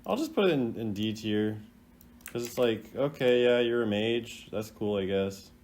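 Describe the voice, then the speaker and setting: A man's voice: mid-range voice, moderate pace, darkish tone. A man hosting a video in casual unscripted commentary, rambling and hesitant in a laid-back way. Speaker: host ranking game voice lines.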